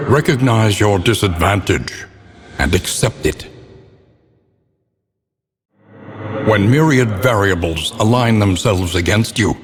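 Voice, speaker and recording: A man playing a grave, brooding narrator of a gothic horror game, speaking with solemn, theatrical menace in a husky, gravelly voice. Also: fairly low pitch, background noise. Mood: cold, reasoning. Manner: solemn, ominous, theatrical, measured delivery. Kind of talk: scripted dramatic game narration. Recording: long cavernous reverb.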